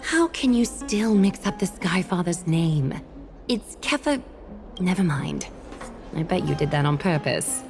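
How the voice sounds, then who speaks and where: A woman's voice: on the low side, expressive, measured pace. A woman voicing a cold, shrewd demigod noblewoman in a video game, formal, commanding and dryly sardonic. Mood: stern, suspicious. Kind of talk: dramatic game character voice acting. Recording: music under the voice.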